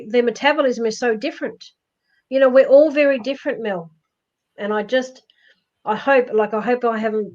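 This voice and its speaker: A woman with a loud voice, giving emphatic, reassuring life advice in a casual live-stream chat. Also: a little high. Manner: emphatic, reassuring, repeats herself. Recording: dull lo-fi stream audio.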